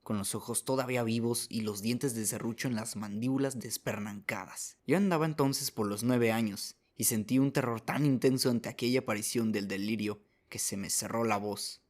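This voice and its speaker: Guy narrating a radio drama, scripted storytelling, normal mid pitch voice. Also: moderate pace, hardly any pauses, darkish tone.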